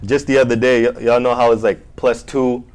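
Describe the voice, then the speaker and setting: A man's voice: mid-range voice, flat delivery, fairly quick, a little mellow. A man hosting a casual podcast chat, talking in laid-back slang and asking his guests lots of questions. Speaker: podcast host.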